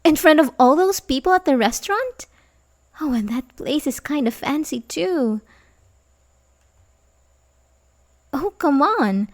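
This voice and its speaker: A woman's voice: high voice, animated, moderate pace. A woman playing an obsessive, manipulative young babysitter who feigns sympathy, in an intimate, hesitant ASMR roleplay style. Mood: sympathetic, coy. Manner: hesitant, stammering, nervous chuckles, sighs. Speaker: audio roleplay performer.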